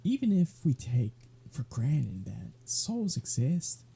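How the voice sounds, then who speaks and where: A man's voice: medium pitch, wildly swooping pitch, slow, vocal fry, dark tone, husky, full character voice. A man playing a sardonic, weary vampire in an ASMR audio roleplay, talking in a flippant, conversational way. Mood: musing, sardonic.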